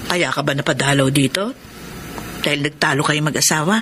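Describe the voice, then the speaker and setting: An actress playing a patient, consoling older mother in a radio melodrama, speaking in a gentle, motherly way. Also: sits low, fairly even intonation, fairly quick, background noise, lightly acted. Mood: concerned.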